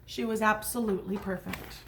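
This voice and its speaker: A woman's voice: mid-range voice, steady tone, brisk, gravelly, noisy background. A woman teacher reading a picture book aloud to young children, explaining things and checking in with questions. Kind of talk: read-aloud storytime with asides to children.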